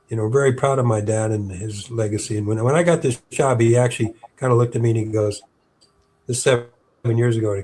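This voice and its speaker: This is a man in his seventies talking as a guest on a casual interview show. He has a low voice and a rambling delivery, with frequent fillers and restarts. Faint background noise runs under his voice. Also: warm tone. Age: 70s. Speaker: park historian guest.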